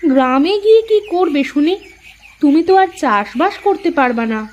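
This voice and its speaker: Woman playing a high-voiced chiding cartoon housewife. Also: lively intonation, moderate pace, a little mellow. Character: practical, fussing cartoon housewife, caring but chiding. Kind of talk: Bengali cartoon voice acting.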